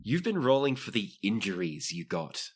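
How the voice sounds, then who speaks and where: Deep-voiced man running a tabletop game. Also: very animated, normal speed, husky. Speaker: game master. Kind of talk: narrating a tabletop roleplaying game.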